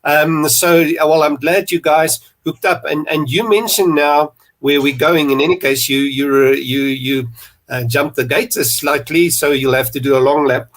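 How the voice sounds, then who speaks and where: A man's voice: on the high side, steady tone, normal speed, fairly bright tone. A man with a South African accent hosting a podcast interview, rambling and restarting with plenty of fillers. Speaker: podcast host. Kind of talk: conversational interview.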